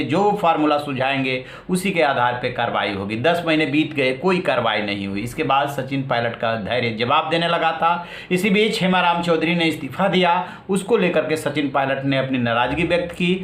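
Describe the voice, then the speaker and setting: A man's voice: mid-range voice, a bit bright. A man anchoring the news, explaining politics in a conversational way with rhetorical questions. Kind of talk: news commentary, explaining politics in a semi-scripted way. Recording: roomy, echoing voice.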